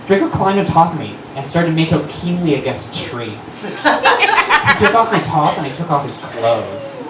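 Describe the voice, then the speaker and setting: Theatrical man with wildly animated delivery. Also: a little high. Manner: theatrical, deliberately overdramatic. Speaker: writer-director and actor, performing reader. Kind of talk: comic dramatic reading of fan fiction. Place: on stage at a live fundraiser. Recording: echoey distant stage recording, noisy.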